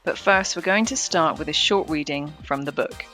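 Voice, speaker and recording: A woman's voice: low-pitched, normal speed, over music. A British woman co-hosting a podcast in a chatty but scripted presenting style. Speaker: podcast co-host.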